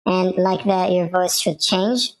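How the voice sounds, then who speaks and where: A man speaking through a pitched-up voice changer as a cartoonish banana, narrating a casual software tutorial. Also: slow, rough recording. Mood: matter-of-fact demonstration. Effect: pitched-up voice changer filter.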